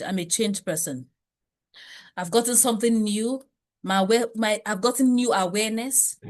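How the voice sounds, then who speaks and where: A woman leading a motivational money class, speaking emphatically and preachily with many rhetorical questions. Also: medium pitch. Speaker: wealth coach.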